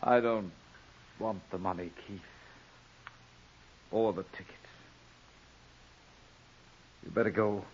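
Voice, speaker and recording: An actor playing a guilt-ridden, weak Englishman with halting, emotional delivery in a 1940s radio drama. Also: fairly low pitch, steady tone, darkish tone, lightly acted. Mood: quietly resolute. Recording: dull, hissy 1940s radio recording.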